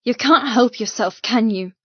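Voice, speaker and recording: A woman's voice: a little high, moderate pace, no room sound, lo-fi sound, lightly acted. A woman voicing a defiant young heroine in an audiobook, challenging and emphatic. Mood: accusing. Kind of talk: audiobook character dialogue.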